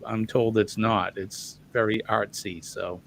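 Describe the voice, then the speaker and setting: An easygoing man co-hosting a casual online chat. His voice is low and gravelly, and he talks with plenty of pauses. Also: flat delivery. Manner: easygoing, hesitant, many pauses. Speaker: co-host.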